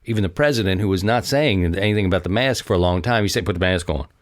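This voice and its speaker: A man with a low, deep voice talks on his own as a podcast host. His delivery is casual and conversational, rambling along as he speaks straight to his listeners. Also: fast, no room sound, clean studio sound. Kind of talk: casual solo podcast talk.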